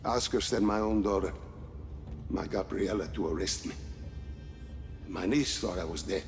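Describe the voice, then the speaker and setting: An actor playing an aging male weapons scientist in a video game cutscene, speaking in a measured, precise, explanatory way. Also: low voice, soft background music. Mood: calm.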